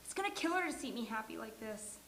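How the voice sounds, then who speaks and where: A high female voice in an echoey room with faint hiss as a woman plays a sitcom heroine. She is wry, neurotic and eager, with a conversational stage delivery. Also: sing-song, fast talker, a bit bright. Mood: excited, wry. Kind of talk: live sitcom table read. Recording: roomy live venue with faint hiss.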